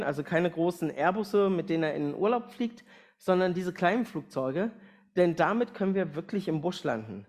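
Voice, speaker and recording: A man's voice: sits high, darkish tone. A man speaking to a congregation as a guest mission speaker, plain-spoken, addressing listeners directly with questions and everyday illustrations. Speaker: missionary aviation organisation speaker, guest preacher. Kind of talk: sermon and mission presentation to a congregation.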